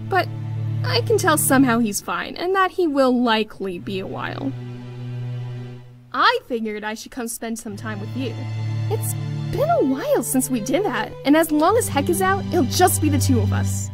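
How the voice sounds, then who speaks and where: A woman voicing a warm, playful young female adventurer who comforts her friend in a visual novel, bubbly and caring. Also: high voice, very animated, normal speed, over music. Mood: cheerful, playful. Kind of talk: visual novel character dialogue.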